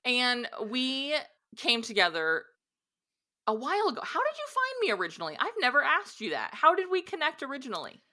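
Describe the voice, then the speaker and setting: A woman's voice: high voice, huge pitch swings, bright, forceful tone. An American woman hosting a podcast interview, upbeat and enthusiastic in casual chat. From United States. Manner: upbeat and enthusiastic, quick reactions. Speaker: podcast host.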